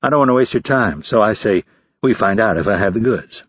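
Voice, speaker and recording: A male narrator plays an unflinching recruit in his early twenties in an audiobook. He is respectful and matter-of-fact, with a low, husky voice. The recording is close and dry. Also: lively intonation, a little fast, no echo, muffled recording. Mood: confident, composed. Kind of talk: audiobook character voice.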